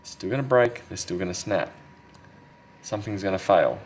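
Low-pitched man muttering through hands-on work. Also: animated, moderate pace. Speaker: phone repair technician and video host. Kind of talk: casual hands-on repair commentary. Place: indoor repair workbench. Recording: clear background noise.

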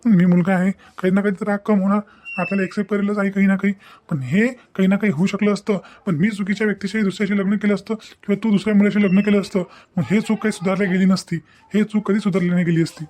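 Cartoon young husband, played by a man: high voice, even monotone delivery, earnest, reassuring and insistent. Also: fast talker, close to an everyday voice. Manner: explanatory, insistent, repetitive. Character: devoted, reassuring young groom, firm and earnest. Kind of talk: cartoon family melodrama acting.

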